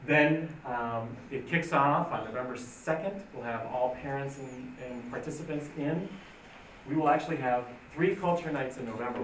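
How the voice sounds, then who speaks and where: A man leading a program info night, explaining plans in an upbeat, informal way. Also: medium pitch. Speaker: program leader. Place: school meeting room. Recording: echoey room, distant mic, faint noise.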